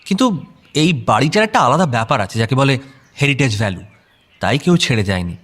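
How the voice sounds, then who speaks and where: A man's voice: mid-range voice, lively intonation, fairly quick, fairly bright tone. An actor playing a breezy, boastful young man in a casual, slangy audio drama. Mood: proud. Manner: slangy, offhand. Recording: music bed under voice.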